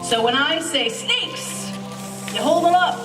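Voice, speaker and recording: A woman's voice: high-pitched, lively intonation, slow. A woman host introducing a play, giving casual instructions to an audience. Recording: echoey hall, distant mic, music behind.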